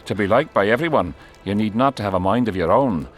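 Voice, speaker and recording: Actor as a lively, canny soldier. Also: male voice, fairly low pitch, faint music behind, lightly acted. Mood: firm, guarded. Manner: measured, folksy, guarded. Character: canny, loyal, plain-spoken male soldier in a fantasy kingdom. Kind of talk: video game dialogue acting.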